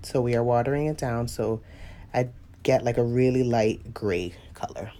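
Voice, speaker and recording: A woman's voice: rumbling low, normal speed, dark tone. A woman with a stuffy, sniffly nose giving a casual live painting tutorial, chatty and laughing often as she greets viewers. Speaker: watercolor painting instructor.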